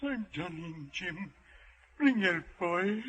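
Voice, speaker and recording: An actor playing a gruff, dying old pirate captain in a radio adventure, talking in halting, rough seafaring style. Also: male voice, sits high, animated, a bit bright, a little hiss or hum, full character voice. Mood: weak, urgent. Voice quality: gravelly, creaky. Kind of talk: dramatic radio adventure acting.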